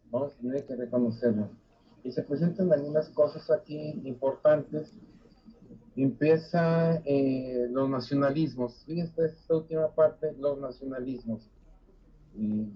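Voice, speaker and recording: Older man lecturing, husky. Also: a little high. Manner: halting, many fillers and restarts. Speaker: university lecturer. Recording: dull lo-fi recording.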